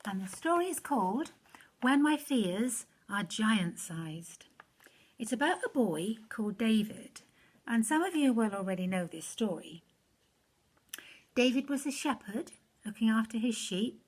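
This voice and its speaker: A woman reading a rhyming children's story aloud, expressively and rhythmically, with phrase endings falling soft. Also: fairly high pitch, expressive, unhurried, slightly rough. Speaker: story reader.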